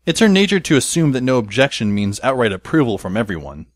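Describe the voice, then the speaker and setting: A man playing a wry, resigned teenage schoolboy who narrates an audio drama in a deadpan manner. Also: mid-range voice, quick pace, no echo, clean studio sound, lightly acted. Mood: wry, measured. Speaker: narrator and lead character. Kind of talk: first-person scripted audio drama narration. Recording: music behind the voice. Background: instrumental music.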